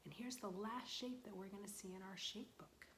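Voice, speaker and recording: A young woman librarian leading a storytime for young children, asking questions in a sing-song voice and dropping to soft whispers for suspense. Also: mid-range voice, normal speed, slight background noise.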